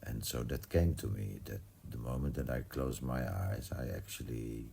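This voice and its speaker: A man musing aloud in casual, reflective spiritual talk, with a halting delivery and a gravelly voice. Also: a bass voice, mellow, slight background noise.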